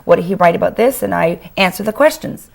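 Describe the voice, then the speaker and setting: Canadian lady author, gravelly hoarse voice, kinda low, chatting excitedly on a tv show. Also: a little fast. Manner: enthusiastic, chatty. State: losing her voice. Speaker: author guest. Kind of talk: talk show interview. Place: TV studio.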